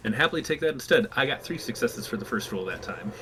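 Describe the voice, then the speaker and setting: Guy at a tabletop rpg, medium voice, casual player talk, soft music behind him. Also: medium pitch, expressive, fast, poor audio. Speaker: player in a tabletop roleplaying game. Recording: faint music under the voice. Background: soft background music.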